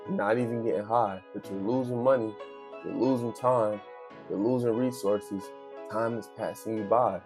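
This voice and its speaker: Guy in his 30s, kinda deep voice, preaching off the cuff in slang, says stuff twice for effect, bit of music under it. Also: a little mellow. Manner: emphatic, repeats phrases for effect; street slang, direct address to listener. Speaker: vlogger giving a motivational talk. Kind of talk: unscripted motivational monologue.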